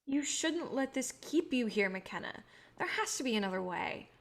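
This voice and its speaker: A woman's voice: a little high, lively intonation, normal speed. A woman acting as a protective, guilt-ridden idealistic filmmaker in a staged play reading, speaking earnestly and pleadingly.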